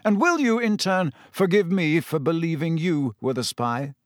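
A man's voice: on the high side, lively intonation, dry recording, studio quality, pitched above the performer's own voice, natural voice. Man playing a mild, lightly raised male voice. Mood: calm resolve. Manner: casual, mild, measured. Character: calm, grimly resolved, forgiving old friend, man. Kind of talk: audiobook character acting.

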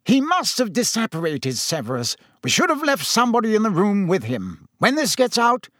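A man voicing a stern, fussy older woman nurse who scolds in a shrill, indignant way. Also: wildly swooping pitch, normal speed, a bit bright, no room sound, clean studio sound, heavily acted.

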